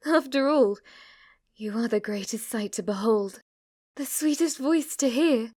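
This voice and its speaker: A woman acting a slow, worshipful demon maid. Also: on the high side, darkish tone. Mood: rapturous worship. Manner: formal, deferential, breathless adoration, sometimes whispering. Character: devoted, submissive, obsessively worshipful female demon maid servant. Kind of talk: ASMR roleplay.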